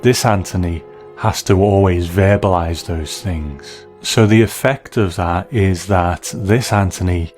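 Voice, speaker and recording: A man with a very low-pitched voice presents a review podcast. He talks in a considered, reflective way, weighing his points as commentary. Faint music plays behind him. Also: a little slow, darkish tone. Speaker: podcast host.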